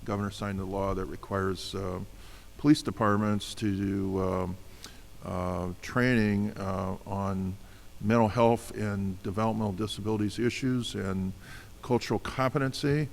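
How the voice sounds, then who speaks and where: Low, gravelly man chairing. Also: fairly even intonation, unhurried. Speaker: senator chairing a committee hearing. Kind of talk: chairing a public hearing. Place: government hearing room.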